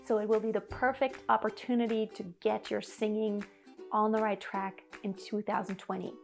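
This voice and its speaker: A woman's voice: medium pitch, little pitch movement, moderate pace, gravelly, faint music behind. A woman in her thirties from Germany, a vocal coach giving an earnest, encouraging singing lesson to camera in a living room. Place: living room in front of an upright piano.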